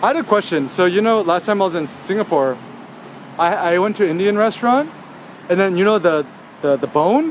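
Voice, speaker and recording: A man leading a street photography workshop outdoors, giving brisk directions and joking with passersby. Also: high-pitched, animated, slight background noise. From United States. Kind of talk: on-street coaching, casual chat.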